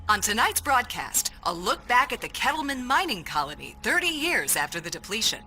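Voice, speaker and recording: A woman playing a radio news co-anchor, reading a scripted broadcast intro with crisp announcer delivery. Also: on the high side, very animated, a little fast, pushed and bright, a little hiss or hum, full character voice, rough recording. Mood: polished.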